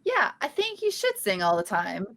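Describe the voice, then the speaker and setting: A woman's voice: mid-range voice, huge pitch swings, moderate pace, creaky, a bit bright, no room sound, full character voice. A woman reading the part of a quirky, upbeat young woman nursing a breakup in a sitcom table read, chatty and a little goofy. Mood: earnest. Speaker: podcast host reading a part.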